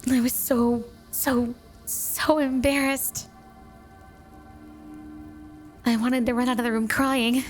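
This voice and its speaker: A woman's voice: on the high side, flat delivery, a little slow, soft background music, close to an everyday voice. A woman playing a flirty, devoted, possessive snow woman wife in an intimate, sweet ASMR roleplay. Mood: bashful.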